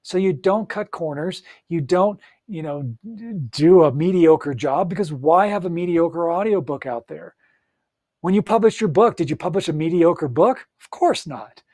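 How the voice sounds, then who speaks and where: A man's voice: fairly high pitch, animated, moderate pace, a little mellow, dry recording, clean studio sound. A man giving an upbeat, encouraging solo talk as a coach, speaking straight to the listener with frequent fillers and restarts. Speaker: audiobook coach.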